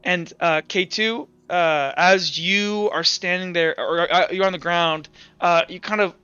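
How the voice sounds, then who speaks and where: A man running a tabletop roleplaying game as game master, improvising dramatic narration haltingly and swearing casually. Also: high-pitched, steady tone, bright, forceful tone, clear, resonant tone, dry recording, highs cut off, soft background music.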